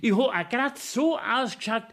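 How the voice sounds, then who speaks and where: A high-voiced, needling Bavarian clerk. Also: male voice, animated, a bit bright, exaggerated voice. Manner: probing, insinuating, drawn-out. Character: sly, needling court clerk, mock-concerned and nosy. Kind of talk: dialect radio play acting, 1970s.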